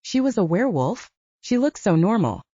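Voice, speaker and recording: A woman's voice: medium pitch, big pitch swings, normal speed, warm tone, dry recording. A woman narrating an audiobook in a dramatic, suspenseful scripted style, on slightly muffled audio.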